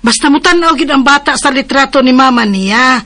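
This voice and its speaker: A woman's voice: sits high, a little fast, sharp tone, dry recording. An actress voicing a caring aunt, coaxing her nephew in a radio drama. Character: caring aunt of a young man.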